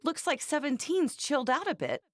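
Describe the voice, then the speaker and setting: An actress playing a plainspoken, teasing young woman in an English-dubbed anime game, with a casual, expressive manner. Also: high-pitched, normal speed, no echo. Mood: casual, musing.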